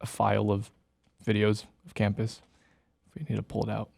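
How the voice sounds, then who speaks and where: A man's voice: fairly low pitch, monotone, normal speed, gravelly, a little mellow, breathy edge, dry recording. A young man from Iowa, a student videographer, answering interview questions hesitantly with lots of fillers in a podcast studio. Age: young adult.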